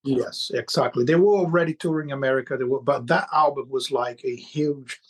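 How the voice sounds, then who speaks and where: A man with a Brazilian accent, co-hosting a casual music podcast, pausing and repeating words as he searches for them. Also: medium pitch, breathy edge. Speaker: podcast co-host. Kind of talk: casual chat.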